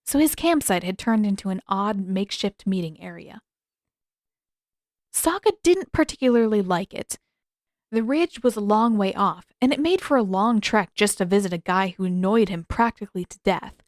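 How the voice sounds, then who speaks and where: A woman's voice: medium pitch, animated, moderate pace, a little mellow, no room sound, studio quality. A woman reading a story aloud as scripted narration. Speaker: podfic narrator and author.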